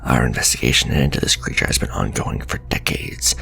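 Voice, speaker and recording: A man playing a stern, menacing government agent in an ASMR roleplay, speaking in a pompous, deliberate, accusatory way, half whispered. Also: bright, forceful tone, soft background music, full character voice. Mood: official, accusing. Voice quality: deep, gravelly, breathy near-whisper.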